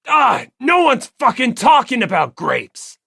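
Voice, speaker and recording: A performer voicing a brash, gruff teenage male biker gang leader in an audiobook, blunt, proud and slangy. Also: high voice, wildly swooping pitch, unhurried, a bit bright, no echo, clean studio sound, voice pushed up, heavily acted. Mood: irritated. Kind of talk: audiobook character acting.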